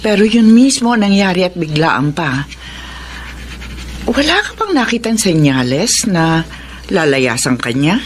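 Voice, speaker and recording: A lowish, very expressive Filipino female voice playing a practical confidante in a radio drama. She reassures and probes, with faint noise in the recording. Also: measured pace, pauses often. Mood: concerned. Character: practical, consoling woman friend with business plans. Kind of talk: Filipino radio soap acting.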